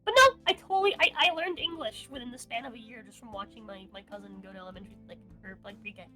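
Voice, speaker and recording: A young woman from the United States with Cuban parents and Spanish as her first language, chatting casually as an interviewed streamer in an excitable, sweary, giggly way. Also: on the high side, fast, faint music behind. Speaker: online streamer being interviewed. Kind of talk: casual chat.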